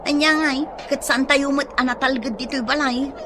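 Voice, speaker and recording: A woman plays a nagging, exasperated Ilocano wife and mother in a radio drama. Her voice is high, with clear background noise around it. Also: fairly even intonation, moderate pace, close to an everyday voice. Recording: noisy ambience behind the voice.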